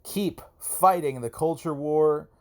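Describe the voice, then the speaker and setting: A man's voice: a little high, takes it slowly. A man talking casually and emphatically as a podcast host, speaking on his own and repeating words for effect. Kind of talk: casual solo podcast monologue.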